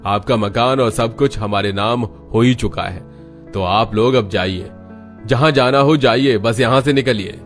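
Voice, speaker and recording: A man playing a smug, cold, accusing company boss in an animated melodrama. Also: mid-range voice, measured pace, soft background music, close to an everyday voice. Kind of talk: animated Hindi family story acting.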